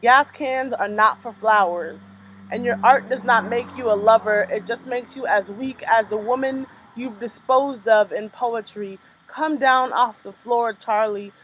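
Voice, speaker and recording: A young woman performing her own spoken word poems in a rhythmic, measured delivery, with casual chatty asides between pieces. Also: fairly high pitch, fairly bright tone, a little hiss or hum, poor audio. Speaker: poet performing her work. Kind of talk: spoken word poetry reading.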